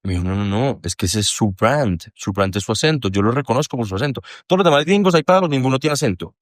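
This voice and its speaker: A man's voice: medium pitch, lively intonation, fast, dry recording, studio quality. A young man with a Colombian accent, a podcast guest talking candidly and at length, rambling and self-deprecating, swearing freely. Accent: Colombian Spanish. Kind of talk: candid casual conversation.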